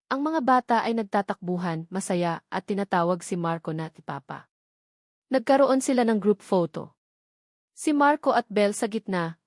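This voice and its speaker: A woman's voice: medium pitch, a little mellow, no echo, studio quality. A woman narrator reading a sentimental love story as scripted narration.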